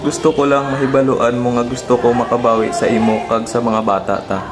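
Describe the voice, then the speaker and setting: A man's voice: mid-range voice, little pitch movement, a little fast, lightly acted. A man with a Western Visayan Filipino accent playing a jealous, emotional husband and father in a radio drama. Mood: remorseful, subdued. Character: jealous husband and father, volatile, later remorseful. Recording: echoey room with music bed.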